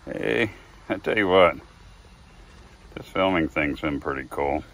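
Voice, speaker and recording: A man's voice: deep voice, gravelly, fairly bright tone, distant mic. A man in his sixties, a wood carver, telling folksy stories to a phone camera in an outdoor wood yard, chuckling at himself. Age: 60s. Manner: folksy, chuckling, self-deprecating storyteller. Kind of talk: casual talk to camera. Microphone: phone camera at a distance. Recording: voice slightly off-mic, faint outdoor noise.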